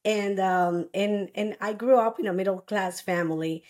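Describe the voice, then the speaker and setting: Woman from Costa Rica, thirties. Earnest interview guest, halting delivery with frequent restarts. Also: mid-range voice, darkish tone. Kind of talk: personal story in an interview.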